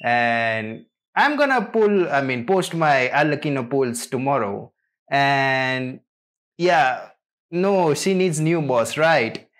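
A man's voice: medium pitch, expressive, slow pace, fairly bright tone. A man hosting a reaction video, commenting casually in a hesitant, trailing way.

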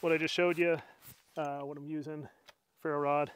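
A man's voice: a little high, flat delivery, moderate pace, a bit bright, clear, resonant tone. Chatty middle-aged man at a campsite. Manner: chatty, self-deprecating, explains gear step by step. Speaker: outdoors channel host. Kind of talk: casual bushcraft vlog narration. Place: outdoors at a mountain campsite. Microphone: camera mic, body cam and camera on a tripod.

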